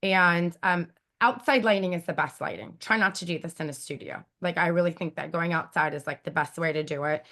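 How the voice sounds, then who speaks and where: A woman with a raspy, squeaky voice, chatting casually as an interview guest and giving advice with lots of fillers and emphatic repeats. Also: a little fast, hardly any pauses, fairly bright tone. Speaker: guest YouTube expert.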